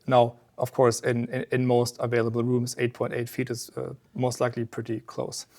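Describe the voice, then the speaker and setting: Guy from a company walking thru tech details, medium pitch, explanatory, pauses between phrases. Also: completely flat delivery, dark tone. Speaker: loudspeaker company representative explaining a product. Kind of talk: informal technical product explanation in an interview. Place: exhibit room at an audio trade show.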